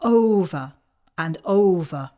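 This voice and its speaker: A woman playing a tender, dignified French widow of the 1890s in a radio play monologue, speaking with a French accent in a measured, wistful way. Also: fairly low pitch, animated, warm tone. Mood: grieving.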